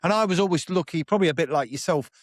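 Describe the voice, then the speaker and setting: A man's voice: fairly high pitch, racing, dry recording, clean studio sound. A British man hosting a podcast, chatting agreeably and asking follow-up questions. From Britain. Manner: conversational, agreeable, reflective asides. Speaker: podcast host. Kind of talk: casual interview.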